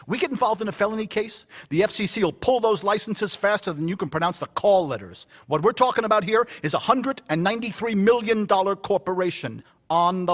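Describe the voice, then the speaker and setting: An actor playing a cautious, persuasive male corporate lawyer in a radio drama. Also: sits high. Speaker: company lawyer.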